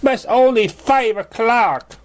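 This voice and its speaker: A man's voice: very high-pitched, animated, measured pace, a little hiss or hum, voice pushed up. A man voicing an easygoing, friendly pony in a storybook reading. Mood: friendly, concerned.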